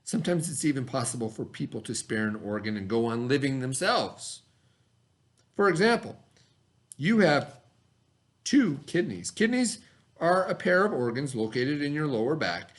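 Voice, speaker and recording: A man's voice: mid-range voice, animated, normal speed. A man teaching a read-aloud lesson for children, speaking instructively, repeating key words and prompting with questions.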